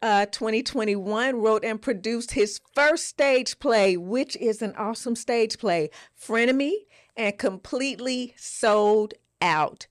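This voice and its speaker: A woman's voice: medium pitch, no room sound. Enthusiastic woman co-hosting a podcast, dry sound. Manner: emphatic, enthusiastic, repeats words. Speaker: podcast co-host. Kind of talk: casual podcast chat.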